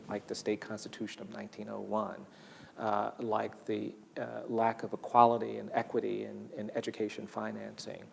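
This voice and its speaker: A man giving interview commentary, halting as he searches for words, in a reverberant space with faint noise. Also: fairly low pitch, creaky.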